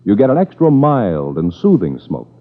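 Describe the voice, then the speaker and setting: A man's voice: on the low side, wildly swooping pitch, normal speed. A man announcing a 1950s radio crime show and its sponsor with punchy, dramatic emphasis. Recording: tinny old radio with loud music.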